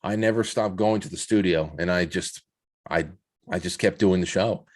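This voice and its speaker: A man with a fairly low voice talks in a reflective, conversational interview style. He feels his way into each point, often repeating a word or two before moving on, like a podcast guest thinking aloud. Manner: halting, repeats words as he builds points. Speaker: podcast guest, show host.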